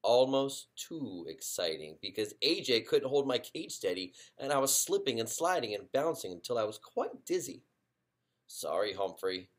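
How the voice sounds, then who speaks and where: A man, a teacher, reading a children's chapter book aloud in an expressive storytelling way. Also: mid-range voice, normal speed, few pauses.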